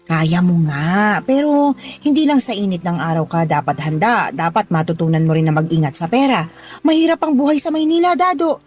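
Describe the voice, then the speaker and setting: An actress playing a kindly married boss in a Filipino radio drama, giving firm, nurturing advice in a Filipino accent. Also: female voice, lowish voice, expressive, brisk, a little mellow. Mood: cautionary. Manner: advising, nurturing, firm reminders. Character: kindly, motherly married female boss teaching street-food vending. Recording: music playing behind the voice.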